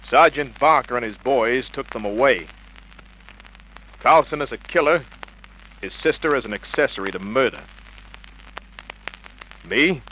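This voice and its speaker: An actor playing a cool, wisecracking private investigator in a clipped, commanding vintage radio crime style. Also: male voice, mid-range voice, lively intonation, unhurried, pauses often, pushed and bright, poor audio. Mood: wry. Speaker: lead actor and narrator. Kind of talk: 1950s radio crime drama acting, hardboiled narration. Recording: old radio recording with hiss.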